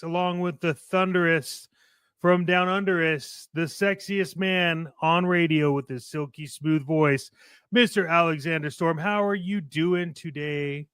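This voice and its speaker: A man with a clear, high-pitched voice co-hosts a talk show. He is effusive and playfully boastful, hyping things up in radio banter. The sound is close and dry. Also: fairly bright tone, no room sound. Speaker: podcast co-host.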